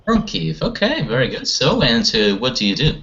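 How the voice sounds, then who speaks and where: A man teaching an English class online, asking students questions and gently correcting their grammar. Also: medium pitch, sing-song, normal speed, gravelly, slight background noise. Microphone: video call. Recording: lo-fi online call audio.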